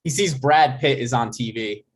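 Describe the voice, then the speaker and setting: A man's voice: mid-range voice, normal speed. A man narrating stage directions from a script at a comedy table read.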